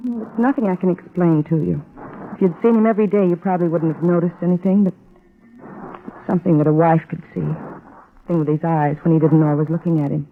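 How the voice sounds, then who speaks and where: A woman's voice: on the low side, a little hiss or hum, close to an everyday voice. A woman playing a worried, hesitant wife of a missing detective in an old radio drama. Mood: troubled, hesitant. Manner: hesitant, halting. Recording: muffled old radio recording.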